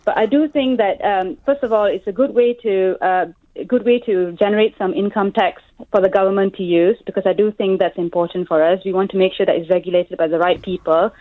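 A young woman from Malaysia, working as a pharmacist, calling a radio phone-in and explaining her view earnestly with many pauses and ums. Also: medium pitch, fairly even intonation, dry recording. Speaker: caller, pharmacist. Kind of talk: phone-in call. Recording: tinny phone line.

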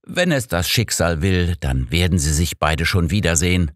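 A man's voice: low voice, lively intonation, normal speed, no echo, studio quality. A man voicing a suave, sardonic old master detective in an audiobook. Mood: dry, sardonic. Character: old master detective, dry, grim and smug.

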